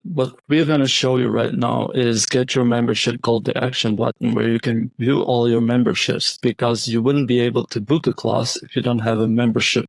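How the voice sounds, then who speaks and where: Young man from Croatia, software demo walkthrough, halting with restarts, dry close sound. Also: medium pitch, little pitch movement, gravelly, no echo, poor audio. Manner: hesitant, frequent restarts and fillers. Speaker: bootcamp graduate presenting project.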